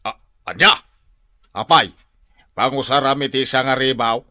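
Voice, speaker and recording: A man's voice: medium pitch, measured pace, pushed and bright. An actor playing a stern, scolding elderly father-in-law in a radio drama, with an Ilocano accent. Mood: indignant scolding. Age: older. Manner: scolding, exasperated.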